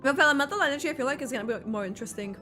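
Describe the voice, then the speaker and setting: A woman's voice: high-pitched, racing, music in the background. A woman streamer reacting live to a game, chatting and thinking aloud expressively.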